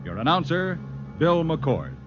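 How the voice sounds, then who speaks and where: A man's voice: fairly high pitch, big pitch swings, unhurried, over music. A man announcing in a formal, dramatic 1950s radio style from a script. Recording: dull vintage 1950s radio recording.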